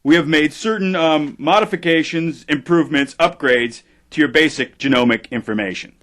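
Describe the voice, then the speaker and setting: An actor playing a pompous, defiant genetic engineer in a satirical audio drama, speaking formally and indignantly. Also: male voice, on the high side, moderate pace, fairly bright tone, natural voice. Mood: proud, formal.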